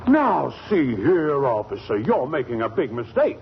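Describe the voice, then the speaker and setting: A man's voice: mid-range voice, sing-song, unhurried. An actor playing a sheepish middle-aged husband and father in a 1940s radio comedy, stammering and hesitant. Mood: flustered. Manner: stammering, hesitant excuse-making. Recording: muffled, hissy 1940s radio recording.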